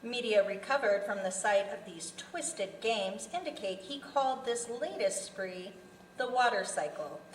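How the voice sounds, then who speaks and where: A woman's voice: mid-range voice, a bit bright, lightly acted. A woman playing a serious TV newscaster at a live table read, with formal delivery. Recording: roomy, distant mic, faint noise.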